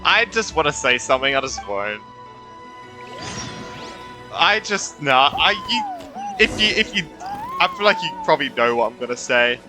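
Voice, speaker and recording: A man's voice: fairly high pitch, wildly swooping pitch, measured pace, long pauses, low-quality recording. A young man giving casual, slangy reaction commentary over a game, often exclaiming and trailing off mid-thought. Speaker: gaming streamer. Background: game music.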